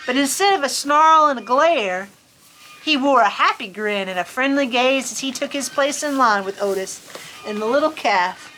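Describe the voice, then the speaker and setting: A woman reading a picture book aloud to children in an expressive, folksy, chatty storytime manner. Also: a little high, a little hiss or hum, low-quality recording. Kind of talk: animated children's story reading with casual asides. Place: on a farm among sheep.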